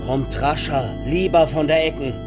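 An actor in a German radio play, playing a brisk, authoritative papal envoy who urgently demands answers. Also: male voice, a little high, over music, lightly acted. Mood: urgent, commanding.

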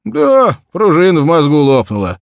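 An old peasant watchman from a children's storybook. The voice jumps high and loud, swinging freely in pitch. He is simple and folksy, always grumbling and exclaiming. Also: wildly swooping pitch, moderate pace, gravelly, no echo, studio quality, heavily acted. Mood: grumbling, exasperated.

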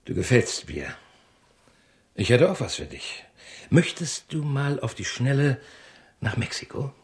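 An actor in a radio drama playing a shady, bossy real-estate broker with a pushy, colloquial, clipped way of talking. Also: male voice, medium pitch, sing-song, a little slow. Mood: scheming. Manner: colloquial, pushy wheeler-dealer talk with clipped phrases.

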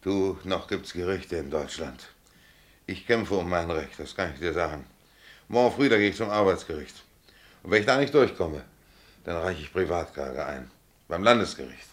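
A man's voice: low-pitched, lively intonation, moderate pace. A man playing a stubborn, indignant working tram driver in a German radio play, blunt, emphatic and colloquial. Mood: defiant. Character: stubborn, indignant dismissed male tram driver fighting for his job.

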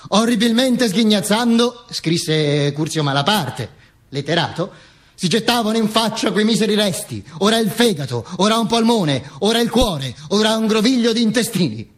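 A vain dictator speaking from beyond the grave. The voice is notably high for a man and rough-edged, husky. He holds forth pompously in a theatrical monologue, stumbling and repeating words. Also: animated. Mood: mocking, declaiming. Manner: pompous, halting, with stammered repetitions and asides. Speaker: stage actor performing a monologue.

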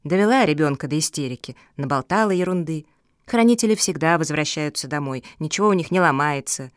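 A woman's voice: deep voice, animated, a little fast, no room sound, studio quality. A woman voicing an indignant male animal library keeper in a storybook, brusque and scolding. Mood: angry scolding.

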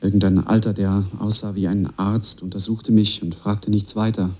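Man playing a low, dark-voiced wry draft refuser. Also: little pitch movement, normal speed, lightly acted. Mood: wry, haunted. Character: young draft refuser, wry survivor of a madhouse. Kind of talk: radio drama monologue, first-person testimony.

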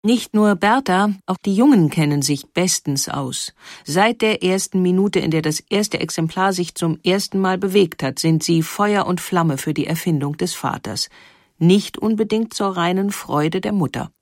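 A woman's voice: on the low side, moderate pace, darkish tone, dry recording. Woman narrating in a dry studio sound. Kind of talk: scripted documentary narration.

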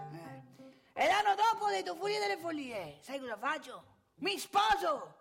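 A woman playing a boastful, nostalgic old man in a theatrical comic monologue, rambling and emphatic. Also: fairly high pitch. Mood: nostalgic, proud. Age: older. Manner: rambling, emphatic, addresses listener with rhetorical questions.

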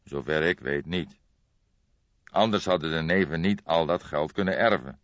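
A man voicing a weary, reflective old detective who speaks in a deliberate, solemn way in an audiobook. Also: a bass voice. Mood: grave. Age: older. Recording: boxy, echoey, dull recording.

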